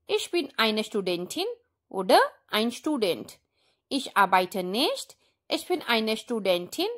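A woman with an Indian accent teaching a language lesson in a deliberate, instructional way, repeating example sentences. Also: a little high, fairly bright tone.

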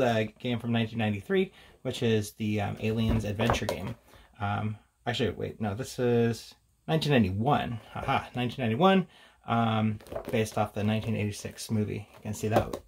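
A man's voice: mid-range voice, darkish tone. A man hosting a casual, unscripted tabletop game walkthrough, thinking aloud hesitantly as he goes. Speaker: host of a tabletop gaming channel.

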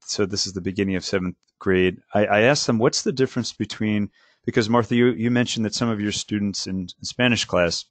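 A male language teacher talking casually on a panel, halting with many restarts and fillers. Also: on the low side, no room sound.

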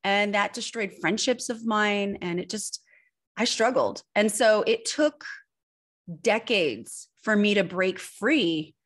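A woman's voice: medium pitch, slow pace, fairly bright tone. A woman in her thirties with an American accent, speaking candidly and expressively as an interview guest in a conversational, storytelling style. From Northern California, United States. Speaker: guest, personal branding image expert. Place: indoor room at home. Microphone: video call microphone.

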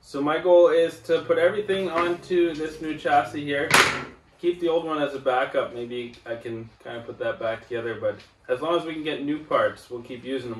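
A man in his forties hosting a casual hobby vlog, talking viewers through his work in a relaxed, chatty way from a sunroom at home. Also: mid-range voice, a little hiss or hum, rough recording. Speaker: vlog host. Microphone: camera at a distance.

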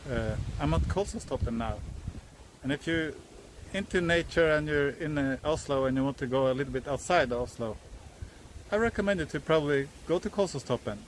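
A man in his forties from Norway, speaking English with a Norwegian accent, explaining a hobby project in a halting, self-correcting way. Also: a little high, a little hiss or hum, rough recording. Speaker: hobbyist YouTuber. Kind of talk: casual DIY explainer. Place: outdoors on a hilltop, then indoors at a workbench.

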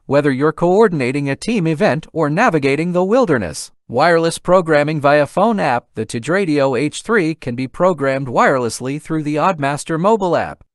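Higher-pitched man, scripted product narration. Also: dry recording, clean studio sound.

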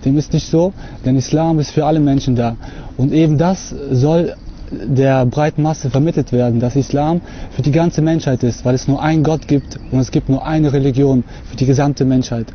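A young man from Russia talking to camera outdoors on a lawn, earnest and hesitant, filling pauses often. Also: medium pitch, steady tone, dull audio, a little hiss or hum. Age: young adult. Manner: hesitant, many fillers, earnest. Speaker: convert giving a testimony. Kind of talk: unscripted talk to camera.

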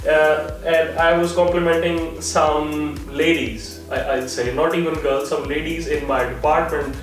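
A young man, his voice a little high, telling stories among friends and breaking into laughs. He is picked up by a camera across a living room, so the sound is very echoey and distant. Faint music plays underneath. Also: a little slow. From Pakistan. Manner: laughs often, restarts sentences, animated hand gestures. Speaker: vlog host. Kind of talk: casual storytelling among friends. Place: living room in a flat, indoors. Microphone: far camera. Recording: very roomy, from a far-off camera mic.

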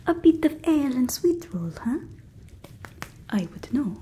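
A young woman playing a stern, mocking fantasy guard in a breathy whispered ASMR roleplay, suspicious and sarcastic. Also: high voice, very animated, a little slow, dark tone, slight background noise. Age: 20s.

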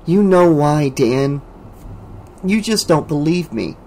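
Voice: mid-range voice, animated, slow, a little mellow. A reader voicing a frightened teenage girl in an audiobook, tremulous and pleading. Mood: desperate. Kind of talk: audiobook character voice.

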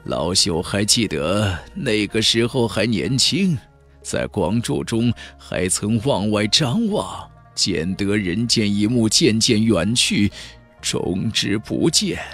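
Man voicing a slow, solemn old clan elder. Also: fairly low pitch, sing-song, fairly bright tone, soft background music, exaggerated voice. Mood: nostalgic. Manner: slow, dreamy, archaic storytelling. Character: ancient male clan elder, reverent, sorrowful, recalling the past. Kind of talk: audiobook character voice in a fantasy novel.

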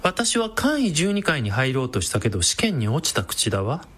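An actress playing a brash, sardonic villainous woman with a sharp-tongued, theatrical manner in a comedic radio drama. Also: very deep voice, sing-song, moderate pace, exaggerated voice. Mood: grave, confiding.

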